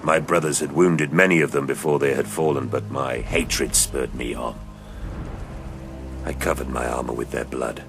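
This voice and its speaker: A man voicing a very deep, brooding battle-worn sergeant. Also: steady tone, over music. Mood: brooding, solemn. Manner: slow, brooding, solemn. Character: grim battle-hardened sergeant, haunted male space soldier. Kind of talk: audio drama character acting.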